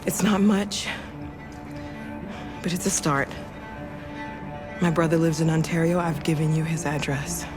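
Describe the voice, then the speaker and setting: A protective reassuring game character, low voice, music going behind her. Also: steady tone, a little slow, long pauses. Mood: earnest. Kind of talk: video game voice acting.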